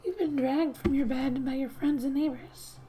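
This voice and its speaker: High, soft-spoken woman reading in ASMR style. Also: fairly even intonation, normal speed, low-quality recording. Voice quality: soft, breathy, often whispery. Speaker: gaming ASMR host.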